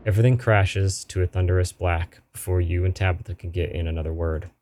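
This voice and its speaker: A man's voice: deep voice, fairly even intonation, a little fast, very noisy. A man playing a video game on stream, reading the story text aloud and adding casual asides.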